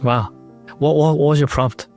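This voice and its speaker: A man's voice: a little high, moderate pace, a little mellow, soft background music. A man hosting a podcast, speaking as an interviewer and presenter. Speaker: podcast host. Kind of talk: casual interview talk and scripted sponsor read.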